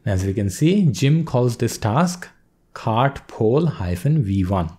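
A male instructor calmly explaining a coding task step by step in a screen-recorded lesson. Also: medium pitch, measured pace, husky. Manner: steady explanatory pace, walks through steps. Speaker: course instructor.

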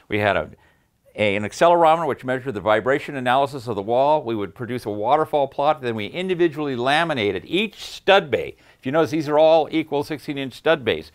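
An older man giving an unscripted technical explanation as a sound designer, emphatic and full of jargon, repeating himself to drive points home. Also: mid-range voice. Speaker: sound system designer and presenter. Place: padded, treated listening room indoors.

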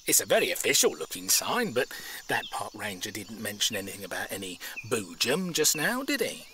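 A nervy, irritable older bloke in an audio comedy. His voice is bright and forceful, swinging widely in pitch. He hesitates and grumbles as he goes. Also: wildly swooping pitch, fairly quick, slight background noise, exaggerated voice. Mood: irritable, suspicious. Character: nervy, irritable older man posing as a photographer. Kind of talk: audio comedy drama acting.

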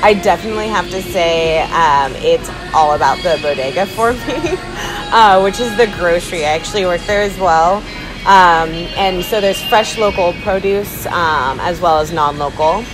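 A woman's voice: lowish voice, moderate pace, rough recording. A young woman from Cincinnati, a shop clerk, talking enthusiastically about a market in a casual interview. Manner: chatty, enthusiastic, laughs lightly. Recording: music under the voice.

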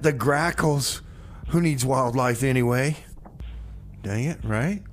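A man's voice: mid-range voice, a little mellow, a little hiss or hum. Rambling, wry male radio DJ. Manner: rambling, self-interrupting, wry. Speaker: radio DJ and host. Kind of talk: casual radio back-announcing. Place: community radio studio. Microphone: studio microphone.

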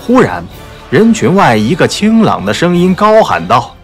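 A man's voice: a little high, wildly swooping pitch, normal speed, faint music behind. A man narrating a martial arts novel as a dramatic audiobook.

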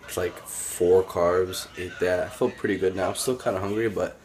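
Low-voiced young man vlogging on his phone. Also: normal speed, slight background noise. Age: 20s. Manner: relaxed, chatty, lots of fillers. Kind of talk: casual daily vlog. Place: car, store and home kitchen. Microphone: handheld phone at arm's length.

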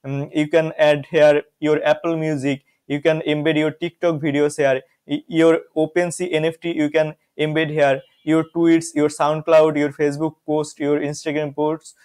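A man's voice: fairly high pitch, flat delivery, moderate pace, few pauses, a little mellow. A young man from Bangladesh recording a screen tutorial, walking through each step with frequent "guys" and "see". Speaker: software reviewer.

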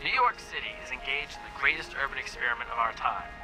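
An actor playing a polished promotional announcer selling a green city in a scripted documentary voice-over, music behind. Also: male voice, medium pitch, animated, quick pace, close to an everyday voice, poor audio.

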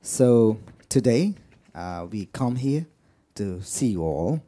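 A man with a Nepali accent introduces a guest in a lecture room, stopping often on fillers and restarting his phrases. His voice is deep and dark in tone, and his pitch swings widely from phrase to phrase. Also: big pitch swings. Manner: halting, many fillers and restarts. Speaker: moderator. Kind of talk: introducing a speaker at a book talk.

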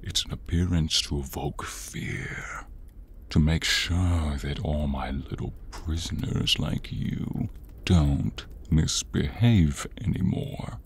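A man playing a tall horned demon in an ASMR roleplay, breathy and gravelly, menacing and theatrical with a comic edge. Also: extremely low pitch, a little mellow, heavily acted. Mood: commanding, menacing. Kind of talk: ASMR roleplay, slow dramatic delivery.

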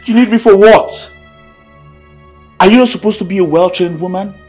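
A man's voice: high-pitched, moderate pace, a little mellow, natural voice. A man playing a domineering, hot-tempered husband in a melodramatic film, speaking emphatically. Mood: indignant, angry. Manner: emphatic, scolding outbursts. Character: domineering, hot-tempered husband swinging between scorn and tenderness. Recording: echoey room. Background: music.

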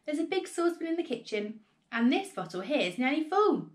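Woman putting on an excited, high-pitched young boy. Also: brisk. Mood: eager. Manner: high, eager shouting. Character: excited, eager young boy calling to his grandmother. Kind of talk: children's storybook reading.

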